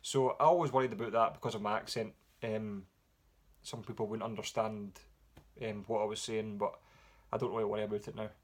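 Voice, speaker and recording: A man with a Scottish accent answering viewer questions in a relaxed, rambling way with frequent pauses and fillers. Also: fairly low pitch. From Scotland. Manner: relaxed, rambling with many ums. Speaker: music vlogger. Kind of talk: casual Q&A vlog.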